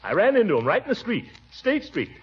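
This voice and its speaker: A pompous, blustering uncle. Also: male voice, high voice, wildly swooping pitch, a little fast, husky, exaggerated voice. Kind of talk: 1940s radio sitcom acting. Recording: dull 1940s radio broadcast with faint hiss.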